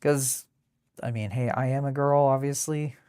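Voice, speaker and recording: A woman with a deep contralto voice chatting casually and in a rambling way as she hosts a live drawing stream. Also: fairly even intonation, moderate pace, mellow, smooth, dry recording, studio quality. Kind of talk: casual chat while drawing.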